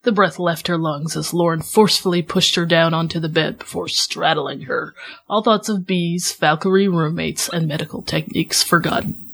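A woman's voice: deep voice, fairly even intonation, normal speed, vocal fry, fairly bright tone. A woman co-hosting a podcast, playful and giggly as she chats casually and reads fan fiction. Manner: playful, giggly, breaks up while reading.

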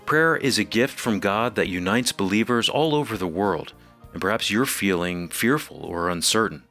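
A man's voice: lowish voice, moderate pace, sharp tone, soft background music. A man with an American accent reading scripted announcements as co-host of a radio program.

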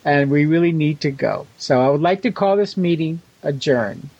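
Ceremonious man, higher voice, muffled sound. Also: normal speed, darkish tone, no room sound. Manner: courteous, ceremonious. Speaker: panel moderator. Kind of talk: formal moderating. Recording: dull, muffled call audio.

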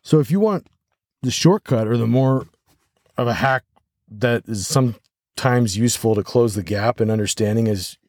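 An American man hosting a podcast solo, talking reflectively and haltingly as he thinks aloud. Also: mid-range voice, darkish tone, dry recording, studio quality. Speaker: podcast host.

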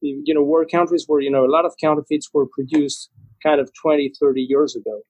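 A man's voice: on the high side, fairly even intonation, fairly quick, darkish tone, husky, dry recording, rough recording. A man from Spain answering interview questions about brands and trademarks, talking fluently with many "you know" fillers. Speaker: interview guest, trademark association representative.

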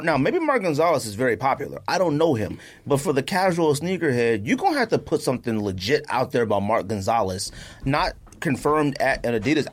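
A man with a very lively, swinging pitch talks as a podcast co-host. His delivery is casual and slangy, and he states his opinions plainly in loose, conversational chat. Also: breathy edge.

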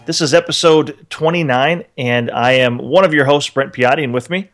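A man's voice: medium pitch, normal speed, fairly bright tone. A man co-hosting a tech podcast, chatting casually in an upbeat, joking, rambling way. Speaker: podcast co-host.

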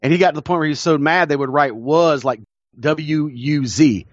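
A man's voice: fairly high pitch, normal speed, no room sound. A man co-hosting a podcast, telling stories with enthusiasm, often restarting his sentences and laughing. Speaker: podcast co-host. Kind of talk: casual chat. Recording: dull lo-fi dry recording.

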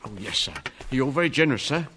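An actor playing a meek, deferential office clerk, timid and polite, in a radio drama. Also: male voice, mid-range voice, expressive, quick pace, bright, forceful tone, a little hiss or hum. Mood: meek, apologetic. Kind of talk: theatrical radio drama acting.

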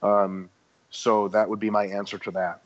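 A man's voice: lowish voice, flat delivery, moderate pace, highs cut off. A man explaining muscle training in a casual podcast discussion, talking through his points with frequent ums and restarts. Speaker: guest expert.

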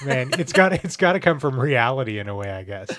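Male podcast host, average pitch, casual banter with dry self-mockery. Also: sing-song, fast talker, a bit bright. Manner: wry, self-deprecating. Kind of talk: casual, joking chat.

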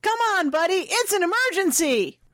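A man's voice: very high-pitched, expressive, moderate pace, very noisy, pitched above the performer's own voice. A man voicing a brash, confident young woman who teases and pushes ahead without hesitation. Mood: shouting. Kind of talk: audiobook character voice.

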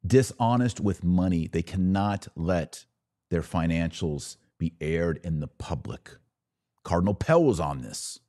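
Very deep-voiced American man, sarcastic commentator. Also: animated, a little slow, warm tone, no room sound, clean studio sound. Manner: sarcastic, emphatic, repeats phrases for effect. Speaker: podcast host and commentator. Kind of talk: opinionated solo podcast commentary, reading quotes and reacting.

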